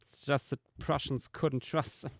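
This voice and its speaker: A man jokes casually over a voice call on a live stream. His voice is creaky and close-miked with no room sound. He delivers teasing lines in a dry, deadpan way. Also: mid-range voice, measured pace, dry recording. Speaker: stream guest.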